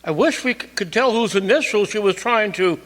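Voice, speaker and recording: A man plays an anxious husband under suspicion in an old-time radio drama. The voice is high and swings widely, earnest and halting, in an echoey hall. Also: expressive, a bit bright. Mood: uneasy. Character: nervous, defensive husband under police suspicion. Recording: echoey hall stage recording.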